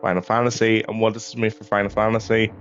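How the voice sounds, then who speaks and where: A man, slightly hoarse from a cough, chatting casually and rambling with lots of ums as he plays a game. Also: on the low side, little pitch movement, fast, fairly bright tone, muffled recording, faint music behind. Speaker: gaming YouTuber. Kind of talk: casual chat over gameplay.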